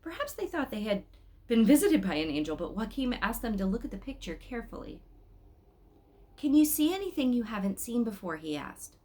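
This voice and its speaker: A woman's voice: lowish voice, darkish tone. A woman hosting a read-aloud, telling a children's story expressively and speaking straight to young listeners. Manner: addresses young listeners directly with questions.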